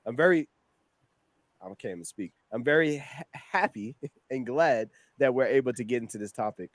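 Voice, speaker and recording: A man hosting a casual online chat, enthusiastic and quick to laugh. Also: mid-range voice, animated, no room sound. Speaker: show host.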